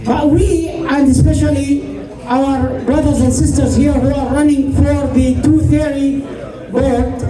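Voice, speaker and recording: A man with a high voice, an imam addressing election candidates at a community event, speaking haltingly and repeating phrases as he finds his words. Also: fairly even intonation. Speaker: imam of a prayer center. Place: large indoor hall at a public event. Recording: echoey hall, distant mic, crowd murmur.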